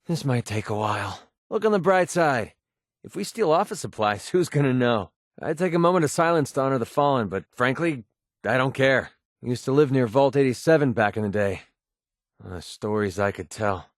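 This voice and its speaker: A man's voice: mid-range voice, expressive, normal speed, dry recording, studio quality, close to an everyday voice. An actor playing a cynical young mercenary gunman in a video game, talking in a casual, sardonic, world-weary way. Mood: wry, matter-of-fact. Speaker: game companion character. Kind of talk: scripted video game dialogue.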